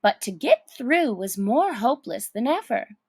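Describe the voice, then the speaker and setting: A woman giving a deliberate, expressive reading of a children's story as narrator. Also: on the high side, breathy edge, dry recording, studio quality. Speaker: storybook reader.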